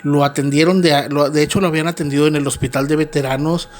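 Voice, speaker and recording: Middle-aged northern mexican guy hosting a story show, earnest, slow, lots of little pauses, voice a bit on the high side. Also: fairly even intonation, slight background noise. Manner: unhurried, earnest, frequent filler pauses. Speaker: host and narrator. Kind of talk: storytelling podcast narration.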